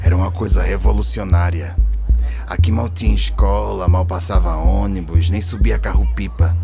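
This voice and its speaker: Low-voiced Brazilian community man played by an actor, over music. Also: fairly even intonation, moderate pace, creaky. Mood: nostalgic, earnest. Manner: colloquial, recollecting. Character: plain-spoken male hillside community resident, reminiscing. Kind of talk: radio drama testimony.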